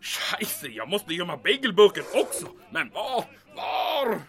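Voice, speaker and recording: Fretful, animated, secretive official. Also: male voice, high voice, exaggerated voice. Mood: anxious scheming. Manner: neurotic, talks to himself, exclaims. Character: fretful, secretive male official muttering paranoid monologue.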